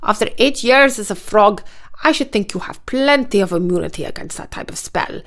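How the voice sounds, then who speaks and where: A woman's voice: mid-range voice, lively intonation, moderate pace, a bit bright. A woman voicing an elderly, amused and commanding witch aunt with a Russian accent, in a storybook. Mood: reassuring.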